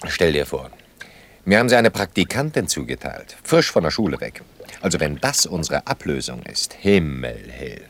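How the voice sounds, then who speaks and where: A man's voice: rumbling low, big pitch swings, normal speed, slightly rough, heavily acted. An actor playing a wry, teasing man who acts out the part of husband and father in an intimate radio drama.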